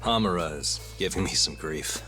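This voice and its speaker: A young detective in a video game cutscene. He has a deep, low voice and a casual, wry delivery that stays cool and understated, with music underneath. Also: animated, normal speed, bright, forceful tone. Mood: cool, determined. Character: cool, easygoing younger male detective close to yakuza. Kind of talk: English video game dub acting.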